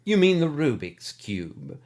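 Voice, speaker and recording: Man playing older woman teacher, otherworldly, composed, stern, deliberate, raised pitch, storybook character. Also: sing-song, a little mellow, full character voice. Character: calm, stern older schoolteacher woman, secretly alien.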